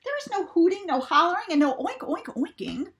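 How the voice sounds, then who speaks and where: A woman's voice: very high-pitched, very animated, fairly quick, a bit bright, pitched above the performer's own voice, exaggerated voice. A woman reading the lines of a fussy male farmer who lists strict school rules in rhythmic chants. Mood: stern.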